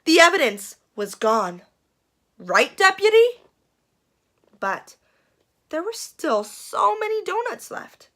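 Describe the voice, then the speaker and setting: Lady doing kids story time, slow and super expressive, playful suspense, bright voice. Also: extremely high pitch. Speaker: children's storyteller. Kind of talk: expressive picture-book read-aloud.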